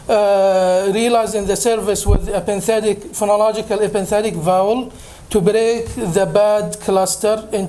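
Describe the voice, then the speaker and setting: A man presenting at a conference, answering audience questions hesitantly with many filler pauses. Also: high voice, warm tone. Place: conference room.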